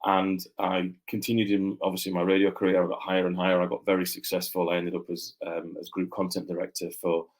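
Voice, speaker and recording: A man's voice: low-pitched, completely flat delivery, quick pace, few pauses. A man with a mixed northern English accent, chatting as a guest author in a loose, rambling way full of fillers and restarts. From Yorkshire, England. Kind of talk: casual interview chat.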